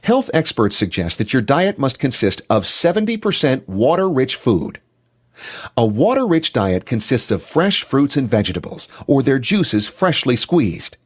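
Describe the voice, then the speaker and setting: A man's voice: medium pitch, big pitch swings, unhurried, slightly rough. A man giving a motivational health talk in an emphatic, declarative manner.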